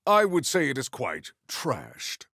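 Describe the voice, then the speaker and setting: A man playing a solemn, proud warrior hunter in a video game, speaking in formal, stilted, declamatory sentences with a gravelly low voice. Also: wildly swooping pitch, slow pace, pushed and bright, no echo, studio quality, exaggerated voice. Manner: formal, stilted, declamatory speech without contractions. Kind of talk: video game character acting.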